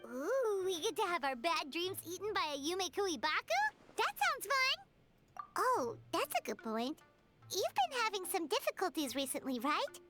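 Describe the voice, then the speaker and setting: A woman voicing a small, cheerful, curious fairy companion with a squeaky childlike voice in an anime game. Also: sing-song, unhurried, exaggerated voice. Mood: excited, curious. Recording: music playing behind the voice.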